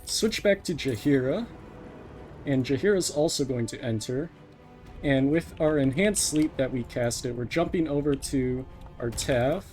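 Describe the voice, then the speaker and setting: Casual male speedrun commentator, mid-pitched and unhurried, talking through each move over soft game music. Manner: explains each step as they play. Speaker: speedrunner, live streamer. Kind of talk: live gameplay commentary, casual.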